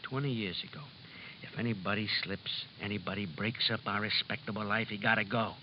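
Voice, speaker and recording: A man playing a tough, loyal, hot-headed ex-gangster with rough, ungrammatical mob talk in an old-time radio drama. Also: lowish voice, fairly even intonation, fairly quick, close to an everyday voice. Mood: bitter anger. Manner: uneducated mobster talk, ungrammatical. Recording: dull, noisy vintage radio recording.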